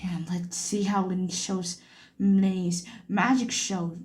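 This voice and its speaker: A woman's voice: lowish voice, level tone, takes it slowly, a little mellow. A woman giving casual playthrough commentary as she plays a video game. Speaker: gameplay streamer.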